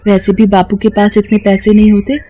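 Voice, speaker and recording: A woman voicing a cheerful, kind, thrifty young village girl in an animated moral story, with music behind. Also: medium pitch, a little fast, a little hiss or hum, close to an everyday voice. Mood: thoughtful, kind.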